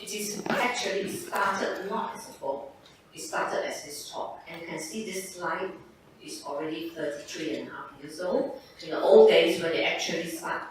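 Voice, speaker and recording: An older woman from Singapore, a surgeon giving an informal lecture to medical students and checking in with "right?" and "okay?". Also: sits low. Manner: conversational, tags points with "right?" and "okay?". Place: large echoey lecture hall. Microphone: distant room mic. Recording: echoey hall, distant mic, noisy.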